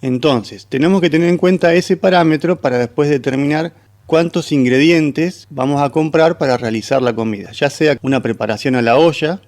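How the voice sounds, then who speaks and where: A man's voice: on the high side, normal speed, darkish tone. A man explaining as a cook in a conversational, instructive way. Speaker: gastronomy professional, guest cook. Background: faint music.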